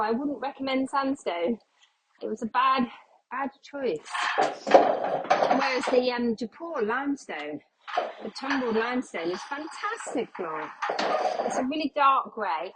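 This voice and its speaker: A woman's voice: high voice, heavy background noise. A middle-aged woman, chatting casually while mopping her kitchen, a little out of breath and slightly congested as she loses her voice. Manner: chatty, self-deprecating, thinks aloud. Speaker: cleaning vlogger. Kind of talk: casual chat while cleaning.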